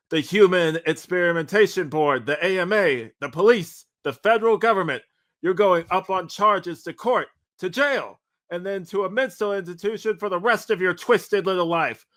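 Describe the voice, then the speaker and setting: An indignant male boss, acted out by a man in a script read. He berates his employee emphatically, piling threat on threat in a raised, loud voice. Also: a little high, voice pushed up, natural voice.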